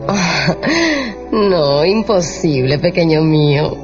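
An actress playing a tempestuous, seductive French courtesan in a theatrical, emotional radio drama style. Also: female voice, low voice, slow pace. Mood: coolly seductive. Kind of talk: Spanish-language radio drama acting. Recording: dull radio drama with music behind.

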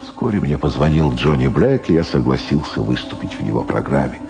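Troubled middle-aged prosecutor, very low voice, sweeping intonation, brooding self-questioning, radio drama monologue, music behind. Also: male voice, animated, brisk, vocal fry, dark tone, full character voice. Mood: self-justifying.

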